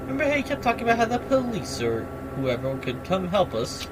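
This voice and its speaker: A man voicing a smug, aloof young man in a video game, talking in a measured, condescending way. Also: high-pitched, sing-song, background noise, voice pushed up. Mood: mocking. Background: game music.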